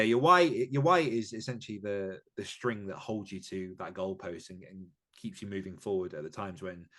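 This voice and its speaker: A man's voice: sits low, fairly bright tone. A man talking as an interview guest, giving informal, candid and emphatic advice as an author in a conversational style.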